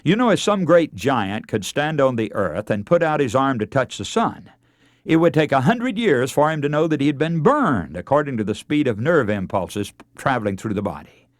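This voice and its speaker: A man preaches a radio sermon in an earnest, oratorical way. His pitch moves widely as he stresses key words with deliberate enunciation. The sound is dry and close, with very little room in it. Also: sing-song, no echo. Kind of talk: radio sermon, oratorical lecture with scripture readings.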